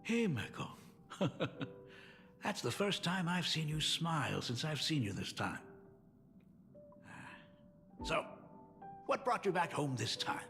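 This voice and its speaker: An actor playing a doting, joking older father in a video game, with a gravelly, lively voice. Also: a little high, takes it slowly, long pauses, long reverb tail, soft background music, heavily acted. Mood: warm.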